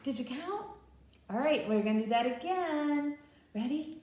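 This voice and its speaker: Woman doing kids storytime, talks slow n simple, really echoey room, mic kinda far off. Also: high-pitched, animated, darkish tone. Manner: simple, instructional, addressing young children directly. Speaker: children's librarian leading story time. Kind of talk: children's story time, explaining and leading action songs. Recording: echoey room, distant mic, faint noise.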